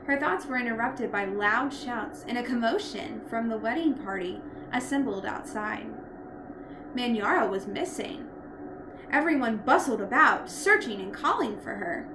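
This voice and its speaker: A woman reading a storybook aloud in an expressive narrating style. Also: on the high side, normal speed. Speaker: storybook reader. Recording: echoey room with loud background noise.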